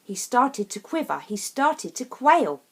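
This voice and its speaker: A woman storyteller reads rhyming verse for children. Her voice is high and swoops widely, and she takes her time over each phrase with theatrical emphasis. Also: big pitch swings, measured pace, husky. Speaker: storyteller reading a children's picture book. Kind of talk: expressive rhyming storybook narration.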